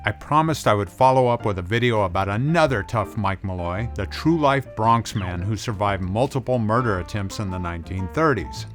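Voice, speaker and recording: A man's voice: fairly low pitch, lively intonation, moderate pace, hardly any pauses, faint music behind. A man hosting a scripted video essay in a conversational style, as a film commentator.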